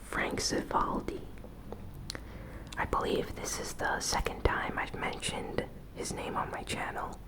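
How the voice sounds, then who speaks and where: A host whispering a gaming news recap in a soft, breathy ASMR style, with an unhurried, conversational and slightly hesitant delivery. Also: rough recording.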